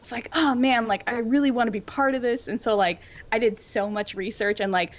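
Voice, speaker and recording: A woman's voice: on the high side, brisk, muffled recording. A young adult woman rambling casually through her opinions as a podcast co-host in a fandom discussion.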